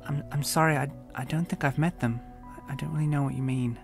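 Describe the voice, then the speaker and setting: Brief: nervous new schoolgirl, voiced by a male narrator, stutters, higher voice. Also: steady tone, fairly quick, gravelly, faint music behind. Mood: timid. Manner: stammering, hesitant. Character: timid shy homeschooled teen girl.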